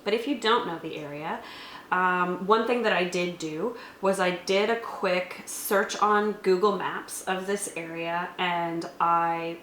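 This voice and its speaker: A woman with a slightly low voice talks casually, like a game master explaining things to a co-host. She is thoughtful and explanatory. The room is noticeably echoey, with faint background noise. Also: fairly even intonation, measured pace, a bit bright. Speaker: co-host reviewing tabletop games, game master.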